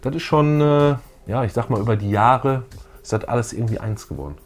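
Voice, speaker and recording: A man's voice: medium pitch, animated, fairly quick. A middle-aged man, chatting casually as a co-host in a fan show. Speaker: YouTube co-host. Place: small room full of collectibles, indoors.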